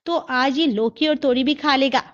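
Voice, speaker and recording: A woman voicing a spirited, scheming young daughter-in-law in animated story dialogue, chatty and dramatic, talking to herself. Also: high-pitched, moderate pace, lo-fi sound, voice pushed up, close to an everyday voice. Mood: determined.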